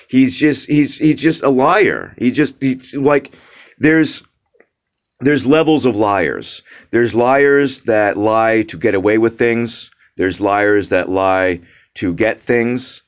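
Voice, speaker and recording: A young man ranting casually to his viewers, exasperated and mocking, with many restarts and repeated words for emphasis. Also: fairly low pitch. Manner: frequent restarts and fillers. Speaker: vlogger. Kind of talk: casual rant to camera.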